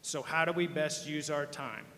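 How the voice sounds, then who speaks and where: A middle-aged man speaks to a gym full of students in a folksy, joking way. His voice sits a bit high for a man. It comes from a distance and rings through a big echoey gym, with a clear hum of noise behind it. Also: normal speed, sharp tone. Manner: folksy, joking, addresses the crowd directly. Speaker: hall of fame inductee speaker. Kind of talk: speech to high school students. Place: large school gym.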